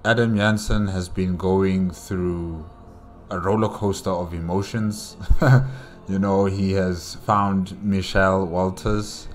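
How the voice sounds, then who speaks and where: A man's voice: low-pitched, fairly even intonation, slow pace. A man hosting a reaction video, giving a casual, rambling solo recap and repeating his phrases.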